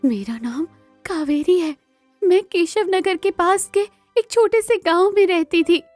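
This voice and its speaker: A woman's voice: extremely high pitch, a little slow, a little mellow, no echo, faint music behind, pitched above the performer's own voice. A woman voicing a humble, grateful young orphaned villager in a cartoon folk tale, with a plaintive delivery. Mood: sad, humble. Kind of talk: dramatised cartoon storybook dialogue.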